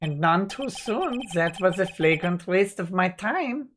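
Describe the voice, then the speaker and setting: A man's voice: high voice, lively intonation, a little slow, fairly bright tone, a little hiss or hum. A man voicing a haughty, cold and impatient male prosecutor from a video game, with clipped phrasing. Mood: disdainful.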